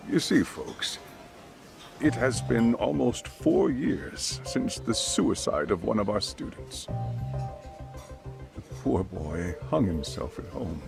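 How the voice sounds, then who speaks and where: A grave older chairman in a game cutscene. His low, gravelly voice is formal and hesitant, rising and falling expressively as he chooses his words, with music clearly playing behind him. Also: very animated, takes it slowly, heavily acted. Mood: hesitant, troubled. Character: grave, weary older male school chairman, courteous and hesitant. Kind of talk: video game cutscene acting. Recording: background music under the dialogue.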